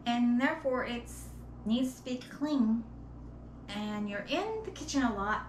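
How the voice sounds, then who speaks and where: A middle-aged woman talks casually to a camera across her kitchen, explaining each step plainly. Her voice sits fairly high. The room adds a noticeable echo, and there is clear background noise behind her. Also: measured pace. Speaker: home cleaning vlogger. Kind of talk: casual instructional vlog talk to camera. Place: home kitchen, indoors. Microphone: camera a few metres away.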